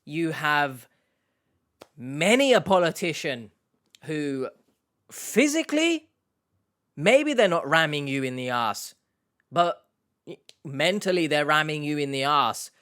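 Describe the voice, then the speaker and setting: Brief: young male host, jokey and rambling, slightly high and smooth. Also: very animated, takes it slowly, long pauses, fairly bright tone. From Turkish Cypriot heritage, based in the UK. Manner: jokey, rambling, self-mocking. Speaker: YouTube host. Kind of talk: casual Q&A chat, reading out viewer questions.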